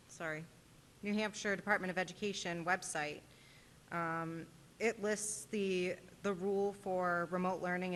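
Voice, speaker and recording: Woman at public comment, low flat voice, lots of ums, echoey hall with faint hiss. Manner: earnest, hesitant with fillers, pointed. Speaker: parent giving public comment. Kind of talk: public comment at a board meeting. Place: town meeting room. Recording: reverberant meeting room with faint noise.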